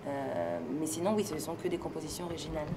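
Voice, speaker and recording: A woman from France being interviewed, speaking in a relaxed, thoughtful and hesitant way and laughing easily. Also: sits low, fast talker. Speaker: interviewee, singer and stage performer. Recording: noisy location recording with ambient sound.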